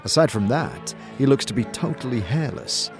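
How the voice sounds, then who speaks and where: A man narrating a fantasy audio drama in the second person, with measured storytelling. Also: mid-range voice, sing-song, over music. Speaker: narrator and player character.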